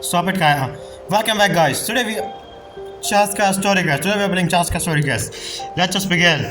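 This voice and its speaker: Male game streamer, higher-than-average pitch, informal and halting, reacting casually over soft game music. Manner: chatty, informal, halting phrasing. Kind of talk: casual let's-play commentary.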